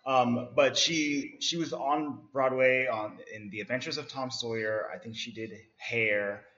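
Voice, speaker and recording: A podcast co-host talking in a thoughtful, measured way. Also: medium pitch, fairly even intonation, fairly bright tone, highs cut off. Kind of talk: casual chat.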